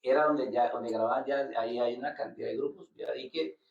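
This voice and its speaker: A man from Colombia talks through an interview in a halting, repetitive way, circling back on his words. His voice has a gravelly edge. He sits well back from the mic in an echoey room, and the sound is dull and lo-fi. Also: mid-range voice, steady tone. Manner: halting, repeats words while searching for them. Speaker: guest musician. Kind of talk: casual interview. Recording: roomy, distant, dull lo-fi sound.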